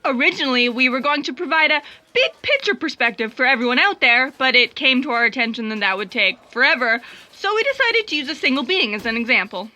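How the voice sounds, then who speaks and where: Very high, bright female fact checker. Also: very animated, quick pace, exaggerated voice. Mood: chirpy. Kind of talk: comic sci-fi audio theatre.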